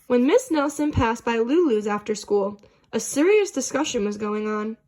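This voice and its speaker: Woman, high voice, storybook narration, children's read-aloud. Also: expressive, moderate pace, darkish tone, low-quality recording.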